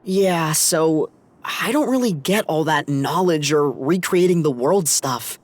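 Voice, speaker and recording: A man's voice: a little high, lively intonation, slow. An actor playing a plainspoken young man who talks in a casual, hesitant, slangy way in a game cutscene.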